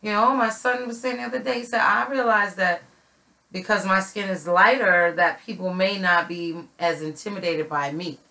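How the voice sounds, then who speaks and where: A woman's voice: mid-range voice, fairly even intonation, normal speed, pushed and bright. A middle-aged woman hosting a podcast, talking casually and leaning on "you know" as she shares her own experience. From not from Connecticut. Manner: conversational, many fillers and "you know". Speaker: podcast host. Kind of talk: casual group discussion.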